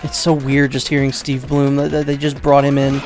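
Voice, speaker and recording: A man giving casual, rambling let's play commentary, second-guessing himself out loud and swearing offhandedly. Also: mid-range voice, monotone, normal speed, soft background music.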